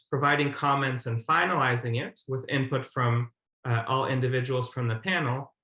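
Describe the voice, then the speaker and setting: Male webinar presenter, mid-pitched voice, methodical explanation, formal delivery, roomy echo. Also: a bit bright. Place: indoor room, on a video call. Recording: roomy, echoey webinar audio.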